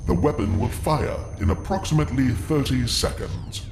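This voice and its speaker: A male actor as an alien conqueror from a radio play, icy and logical. The phrasing is stiff and formal over a creaky tone. The sound is echoey, with clear noise behind it. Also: medium pitch, expressive, moderate pace. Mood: cold, menacing. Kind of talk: audio drama acting.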